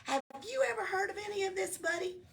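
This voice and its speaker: A woman's voice: very high-pitched, quick pace, vocal fry, fairly bright tone, voice pushed up, heavily acted. A woman voicing a gleeful, teasing little male Christmas elf in a storybook read-aloud. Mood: cheerful bragging. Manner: giggly, teasing, exclaiming. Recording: noisy room, distant microphone.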